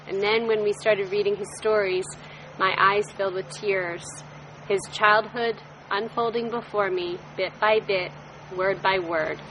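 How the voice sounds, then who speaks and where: A woman reading aloud a heartfelt email she wrote, in a measured way, on stage. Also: medium pitch, fairly even intonation, pushed and bright, slight background noise, rough recording. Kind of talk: reading a letter to an audience.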